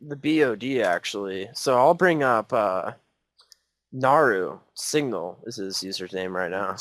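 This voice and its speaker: A man's voice: fairly low pitch, lively intonation, a little slow, a bit bright, dry recording. A young man co-hosting a casual podcast, joking and tripping over his words as he talks with friends in one room. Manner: joking, stammering restarts. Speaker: podcast co-host. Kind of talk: casual chat. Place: shared room with co-hosts. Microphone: clip-on mic on shirt.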